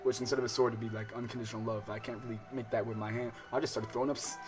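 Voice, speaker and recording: A man's voice: mid-range voice, little pitch movement, rapid-fire, darkish tone. An American man livestreaming a visual novel, reading the text aloud and breaking off into profane, slangy, casual commentary. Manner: slangy, profane, digressive, interrupting himself while reading. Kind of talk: casual let's-play commentary with read-aloud game text. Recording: music playing under the voice. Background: game soundtrack music.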